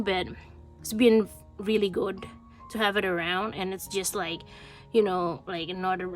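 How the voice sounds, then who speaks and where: A woman vlogger chatting casually as she shows stationery on her desk, her voice a bit congested from a cold, with many fillers and restarts. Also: mid-range voice. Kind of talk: casual show-and-tell chat. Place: indoors at a desk.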